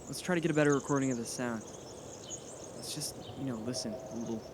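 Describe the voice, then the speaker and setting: A man's voice: mid-range voice, brisk, close to an everyday voice. Man playing a curious teenage boy amid cricket noise. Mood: calming, coaxing. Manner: hesitant, thoughtful, casual. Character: curious, level-headed high school boy explorer. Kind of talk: naturalistic audio drama acting. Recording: noisy outdoor recording with insects. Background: crickets, forest ambience.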